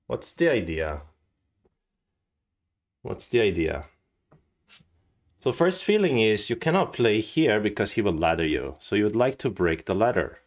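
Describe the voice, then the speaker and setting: Male go streamer, lowish voice, stuffy nose, muses aloud and quizzes himself while solving problems. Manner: thinks aloud, musing and casual, asks himself questions. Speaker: go teacher and streamer.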